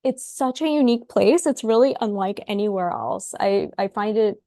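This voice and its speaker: Creaky-voiced woman, podcast guest. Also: medium pitch, animated, normal speed, warm tone, no echo. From Ukraine. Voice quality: thoughtful, repeats words as she starts sentences. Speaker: guest psychologist. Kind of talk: podcast interview.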